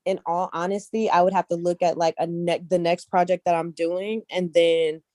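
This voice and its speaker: A woman's voice: lowish voice, little pitch movement, normal speed, warm tone, dry recording, studio quality. A woman speaking casually as an interview guest, repeating points for emphasis and often checking that the listener follows. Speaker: interview guest, casting director and actress.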